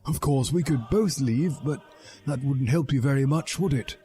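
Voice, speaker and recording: A man's voice: mid-range voice, moderate pace, dark tone, voice pushed up, close to an everyday voice. A man voicing a smug, oily old wizard headmaster in a comic story reading. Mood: sly, taunting. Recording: music behind the voice.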